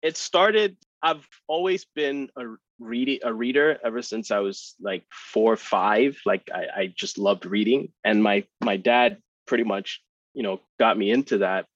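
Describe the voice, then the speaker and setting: A man's voice: lowish voice, a little slow, no room sound, lo-fi sound. A man raised in Nigeria, talking as a podcast guest in a friendly, rambling way with many fillers. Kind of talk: casual chat.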